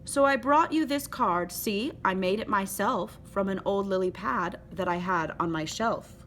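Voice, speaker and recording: A woman's voice: medium pitch, unhurried, fairly bright tone, a little hiss or hum, close to an everyday voice. A woman reading as a devoted, secretive male toad suitor in a children's storybook.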